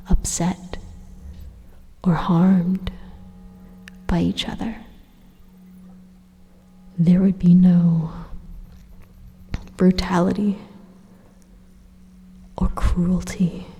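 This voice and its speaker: Drenched in long reverb, an evil supercomputer played by a woman speaks in a very breathy half-whisper. It is slow and deliberate, a calmly menacing machine coaxing its listener in an ASMR roleplay. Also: fairly low pitch, heavily acted. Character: evil robot supercomputer, coolly persuasive, utopian zealot.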